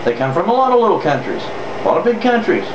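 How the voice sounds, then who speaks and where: A man's voice: sits high, sing-song, fast talker. A man with a Southern US accent delivering an off-the-cuff political monologue in a sarcastic, emphatic way, repeating phrases for effect. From southern United States. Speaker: political vlogger. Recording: roomy, distant mic, noticeable air-conditioner-like noise.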